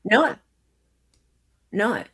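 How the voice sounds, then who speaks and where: A woman's voice: drawn-out words, a crowd in the background. A woman with an Australian accent hosting a casual panel discussion, agreeing and restating points to check them.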